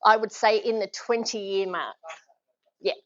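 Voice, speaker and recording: A woman's voice: mid-range voice, steady tone, measured pace, dry recording. An Australian woman gives a live leadership talk with energy. She draws the room in with questions and encouragement. The sound is dull and dry. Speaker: leadership speaker. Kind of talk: live presentation to a small audience. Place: function room with an audience.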